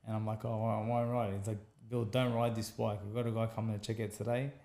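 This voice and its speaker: An Australian man with a gravelly, mellow voice telling a long personal story as a podcast guest. He talks casually in a flat, even tone, repeats phrases and acts out the people he quotes. The room sounds echoey. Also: on the low side, fast. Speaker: guest, former boxer. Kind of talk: conversational storytelling.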